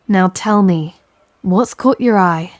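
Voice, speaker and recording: A woman's voice: lowish voice, slow pace, dry recording. A woman playing a tender but commanding female pirate captain in an intimate audio roleplay, with a stage pirate accent and teasing sailor slang. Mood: teasing, insistent. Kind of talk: scripted roleplay audio, intimate second-person address.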